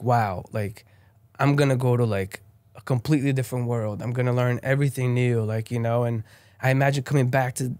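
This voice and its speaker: A man's voice: mid-range voice, a little mellow, no room sound. Rambling young Brazilian man, dry sound. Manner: rambling, many fillers and restarts. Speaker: guest. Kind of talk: casual interview chat.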